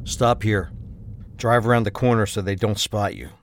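A man's voice: fairly low pitch, moderate pace, slight background noise, natural voice. A man playing a guarded, determined husband in a crime audio drama, speaking with intensity. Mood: grim, subdued. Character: capable, determined husband of a kidnapped wife.

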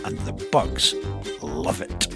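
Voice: deep voice, expressive, slow, creaky, voice pushed down, heavily acted. A performer voicing a grubby goblin innkeeper, proud of a filthy hotel, in a children's story song. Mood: gruff boasting. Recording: loud music under the voice.

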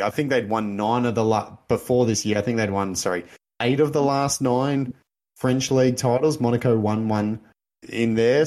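Hoarse Australian man chatting football. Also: fairly low pitch, darkish tone. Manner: chatty, quick to move things along. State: hoarse from yelling. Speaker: podcast co-host. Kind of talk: casual sports chat.

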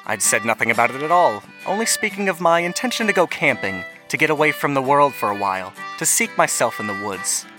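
Guy playing an earnest little brother, grown up, reading his letter out, measured n reflective, music under it. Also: medium pitch, lively intonation, close to an everyday voice, rough recording. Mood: confessional, wry. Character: earnest adult younger brother writing letters from the woods. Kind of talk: dramatic letter narration.

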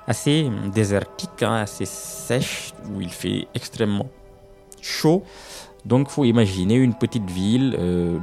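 A deep-voiced man in his thirties from Senegal, first language Serer, giving slow, thoughtful interview answers. His voice carries a gravelly edge, and he often pauses and restarts his sentences. Faint music plays softly behind him. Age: 30s. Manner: measured, hesitant with many fillers and restarts. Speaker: guest author.

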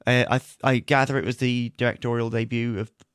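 A man's voice: mid-range voice, level tone, fast talker, a bit bright, clear, resonant tone, no room sound. A man co-hosting a podcast, bantering with dry jokes in casual chat. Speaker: podcast co-host.

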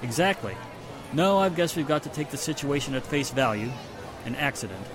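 An actor playing a matter-of-fact, assertive police inspector in the style of a 1940s radio mystery. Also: male voice, medium pitch, animated, fairly quick, natural voice. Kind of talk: 1940s radio drama acting. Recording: music and street sounds behind the voice.

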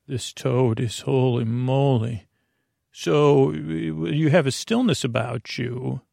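Creaky, meandering male bedtime podcaster. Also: medium pitch, dark tone, no room sound, clean studio sound. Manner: meandering, halting, digressive, self-interrupting. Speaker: podcast host. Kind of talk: rambling bedtime monologue to lull listeners to sleep.

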